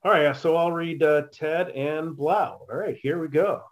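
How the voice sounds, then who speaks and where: A man's voice: fairly high pitch, measured pace. A man chatting casually on a video call as he leads actors into a read-through. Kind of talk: casual chat.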